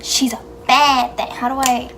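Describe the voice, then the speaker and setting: A woman's voice: sits high, level tone, moderate pace, sharp tone, slight background noise. A young woman doing her sister's makeup, joking and muttering to herself as she works. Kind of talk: casual chat during makeup challenge.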